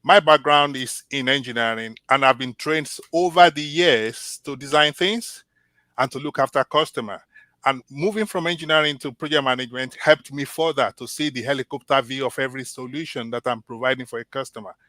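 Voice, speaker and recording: एक शांत, स्पष्ट आवाज़ वाला नाइजीरियाई पुरुष उम्मीदवार. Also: male voice, medium pitch, bright, forceful tone, dry recording. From Nigeria. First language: Yoruba. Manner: earnest, measured, with restarts. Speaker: job candidate. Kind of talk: mock job interview answers.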